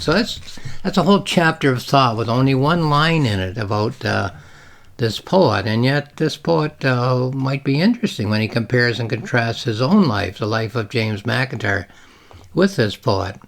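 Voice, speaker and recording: Give this voice to a man presenting literature he wrote himself. He speaks at an average male pitch, phrasing things deliberately and leaning into dramatic emphasis on the read passages. His explanations are informal, like an author talking a viewer through the pages. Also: gravelly, a bit bright. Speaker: novelist reading from and explaining own novel.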